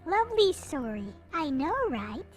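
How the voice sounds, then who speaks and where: A woman's voice: high voice, very animated, measured pace, faint music behind. A woman livestreamer chatting casually to her viewers in a hesitant, rambling way. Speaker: live streamer, host.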